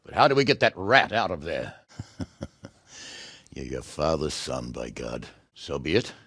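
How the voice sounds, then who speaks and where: A gruff middle-aged lord from a medieval video game. His voice is raspy and forceful, swinging widely in pitch. He gives orders bluntly, with a short temper and a sardonic, sweary edge. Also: very animated, unhurried, dry recording, studio quality, heavily acted. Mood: low, grave. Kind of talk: video game character acting.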